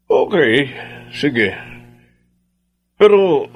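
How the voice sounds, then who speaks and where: A man's voice: a little high, big pitch swings, drawn-out words, heavily acted. A man playing a kindly, earnest family attorney in a Filipino radio drama. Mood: warm, encouraging.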